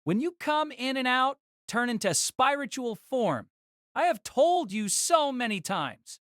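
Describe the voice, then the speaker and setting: A man's voice: very high-pitched, sing-song, unhurried, a bit bright, dry recording, clean studio sound, heavily acted. A man playing a petulant young student mage in an audio drama, whiny and scolding. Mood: exasperated. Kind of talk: fan audio drama acting.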